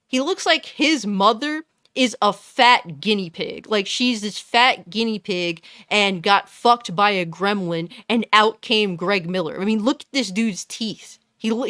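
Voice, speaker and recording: A woman with a fairly deep voice giving a blunt, scornful, profane commentary rant. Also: a bit bright, no echo, studio quality. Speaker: commentary YouTuber. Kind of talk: ranting commentary.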